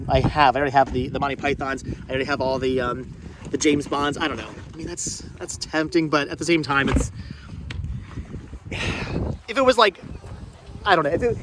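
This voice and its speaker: A man with a somewhat high voice chats off the cuff as a vlog host. He rambles, restarting and repeating his phrases as he goes. The recording is outdoors, with light background noise of a market and vehicles. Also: lively intonation, normal speed. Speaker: vlogger hosting a flea market thrifting video. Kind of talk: casual unscripted vlog chat to camera and companions. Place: outdoors at a busy flea market. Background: outdoor market ambience and vehicles.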